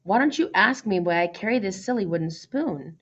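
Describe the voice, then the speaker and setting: A woman's voice: mid-range voice, lively intonation, brisk, breathy edge, lo-fi sound. Woman reading as a headstrong young storybook princess. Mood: teasing challenge. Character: spirited, headstrong young princess, bold and teasing. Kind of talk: storybook character voice.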